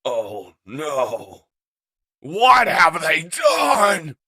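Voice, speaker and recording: A man voicing a noble, anguished robot leader in a comic dub, booming and dramatic. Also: huge pitch swings, very slow, sharp tone, husky, shouting, heavily acted. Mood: horrified.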